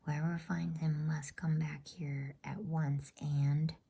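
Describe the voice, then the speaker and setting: A woman's voice: low voice, level tone, slow, creaky, dark tone, breathy edge, exaggerated voice. A woman voicing an earnest, practical boy from a storybook, whispering in a direct, concerned way. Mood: taking charge. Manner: direct, concerned, takes charge.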